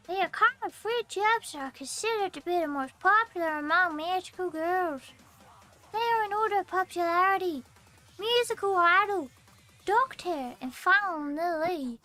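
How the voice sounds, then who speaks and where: Role: a very high, bright-voiced magic teacher in a put-on Scottish accent, read by a woman streamer. Formal, slow lecture delivery. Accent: mock Scottish. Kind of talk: reading a visual novel aloud.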